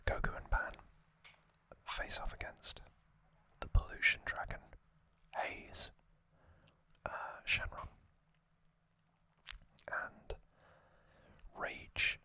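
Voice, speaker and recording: An ASMR host whispering an explainer in a hushed delivery, with frequent pauses.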